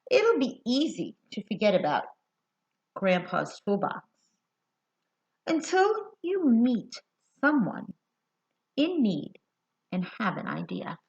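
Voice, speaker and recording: An American woman reading a picture book aloud to young children, expressive and deliberate, putting questions to her listeners. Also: medium pitch, creaky. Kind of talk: children's read-aloud storytelling. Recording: dull lo-fi sound.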